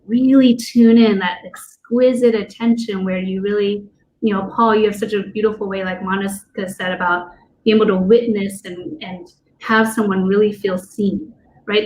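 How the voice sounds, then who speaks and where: A woman's voice: mid-range voice, steady tone, normal speed, a little mellow, slight background noise, poor audio. A woman coach who teaches dance, talking loosely with lots of fillers and restarts on a video call panel. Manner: rambling, many fillers and restarts. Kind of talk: casual panel discussion.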